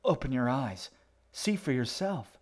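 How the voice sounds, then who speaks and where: A man's voice: medium pitch, sing-song, measured pace, pitched above the performer's own voice. A man voicing an earnest, protective boy in an urgent, pleading way for a story reading. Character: earnest, protective boy, brother of a young girl. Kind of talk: audiobook character acting.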